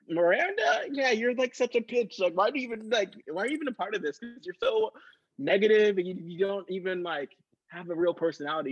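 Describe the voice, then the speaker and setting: A woman's voice: medium pitch, slightly rough, muffled recording. A woman playing a whiny, lovesick, ditzy friend in an improvised comedy sketch, rambling in a valley-girl manner. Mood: peeved, catty.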